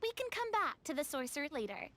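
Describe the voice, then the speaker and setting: An actress playing a high-spirited, slightly dramatic young woman with an emphatic manner. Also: very animated, fast, pushed and bright, a little hiss or hum, heavily acted. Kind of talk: video game voice acting.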